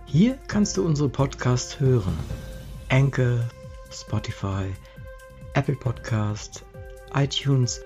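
A man delivers a scripted station promo as announcer. His voice is mellow with a lively, swinging intonation, and he takes his time over light music. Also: medium pitch, slow pace, long pauses. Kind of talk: scripted podcast outro promo.

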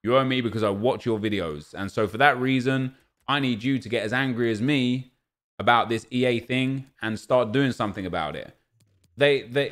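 A man in his thirties, a gaming content creator, talking earnestly over gameplay at home and often stumbling over repeated words. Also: lowish voice. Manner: earnest, ranting, repeats words when restarting. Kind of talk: casual commentary over gameplay. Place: home streaming room.

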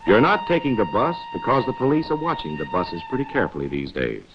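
A man's voice: medium pitch, huge pitch swings, quick pace, noisy background. An American man narrating a 1940s instructional film, chiding its characters directly in a wry, rhetorical way. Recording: dull 1940s film soundtrack, music.

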